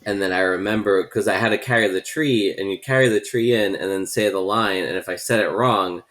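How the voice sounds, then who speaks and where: Low-voiced man telling filming stories. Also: brisk, poor audio. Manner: relaxed storytelling, laughs while recalling. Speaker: cast member recalling filming. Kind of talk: casual podcast chat.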